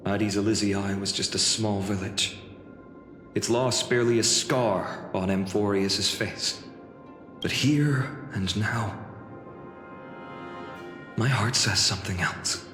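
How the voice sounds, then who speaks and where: A man's voice: lowish voice, bright, forceful tone. A man acting an earnest young guard hero in a video game, speaking theatrically. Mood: solemn, grieving. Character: young male holy city guard, earnest hero haunted by loss. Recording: echoey reverb, music behind.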